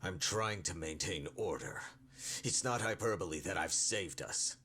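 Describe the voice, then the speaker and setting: A man's voice: low voice, a little slow, pushed and bright, slight background noise, full character voice. An actor playing an imperious, defiant senior male power broker in a video game, condescending and weighty in delivery. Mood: grave, defiant. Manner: condescending, weighty, self-righteous. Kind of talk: English video game dub, dramatic cutscene acting.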